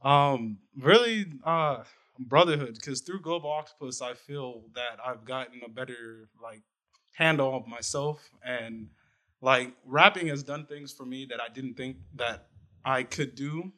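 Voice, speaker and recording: A young man guesting on a radio interview as a rapper. His voice has a bright, clear edge, and he speaks softly and haltingly, often restarting his sentences. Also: medium pitch. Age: young adult.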